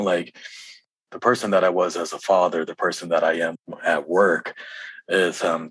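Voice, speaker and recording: Low, husky man talking reflectively. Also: fairly bright tone, dry recording, poor audio. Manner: halting, rambling. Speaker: musician being interviewed. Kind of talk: casual reflective interview talk.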